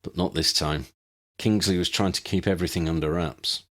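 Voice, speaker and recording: A man's voice: deep voice, steady tone, brisk, fairly bright tone. A man voicing a blunt, frustrated teenage boy wizard in a fantasy audiobook. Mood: blunt, uneasy.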